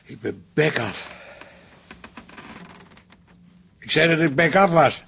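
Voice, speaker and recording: An actor playing a tired, blunt, bewildered husband in a radio drama, middle-aged. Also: male voice, on the high side, animated, normal speed, creaky, a bit bright, a little hiss or hum. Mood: weary, coaxing. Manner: blunt, grumbling, tactless.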